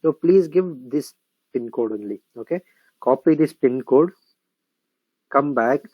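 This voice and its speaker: A man with an Indian English accent talking through a screen-recorded software tutorial. He explains each step, repeats phrases while he types, and keeps checking in with "correct?" and "okay?". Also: medium pitch, lively intonation, a little slow, husky, dry recording, muffled recording. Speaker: software instructor.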